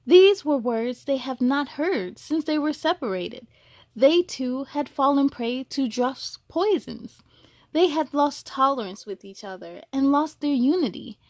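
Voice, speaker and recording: A woman reads a children's story aloud in a high, unhurried voice. She is expressive and encouraging, as a storytime host for young listeners, and the sound is close and dry. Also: dry recording. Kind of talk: expressive read-aloud with chatty asides.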